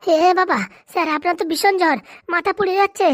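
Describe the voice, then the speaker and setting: A woman's voice: very high voice, fairly even intonation, fast talker, no room sound, studio quality. A woman voicing an eager, devoted male helper in a comic cartoon, deferential and fretful. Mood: worried.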